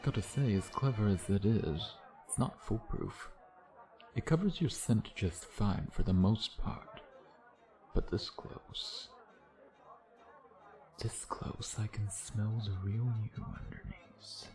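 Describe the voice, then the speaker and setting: A man's voice: fairly low pitch, very animated, takes it slowly, over music, full character voice. A man playing a suave, predatory vampire in an intimate ASMR roleplay, taunting in a sardonic, flirtatious way with murmured asides. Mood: mocking, flirtatious. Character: suave, teasing, predatory male vampire, playfully menacing.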